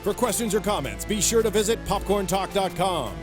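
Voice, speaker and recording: High-voiced male announcer over prominent music. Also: animated, a little fast. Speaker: outro announcer. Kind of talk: scripted promotional outro narration. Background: loud music.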